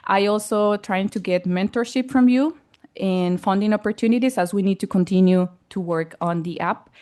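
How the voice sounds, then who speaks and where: Hesitant woman pitching a startup. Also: moderate pace, a little mellow. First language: Spanish. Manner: hesitant, searching for words. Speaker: startup presenter. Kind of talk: pitch presentation. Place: event hall.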